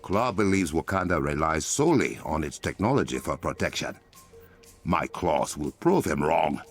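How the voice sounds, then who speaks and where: A man's voice: a bass voice, big pitch swings, moderate pace, faint music behind, heavily acted. An actor playing a proud, stern African-accented warrior king in a video game, formal and commanding. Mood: stern, controlled. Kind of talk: cinematic video game acting.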